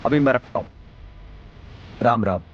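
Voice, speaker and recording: A man's voice: mid-range voice, unhurried, lo-fi sound, natural voice. A man with a Haryanvi accent playing a polite, self-assured and shrewd young husband in an audio drama. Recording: music behind the voice.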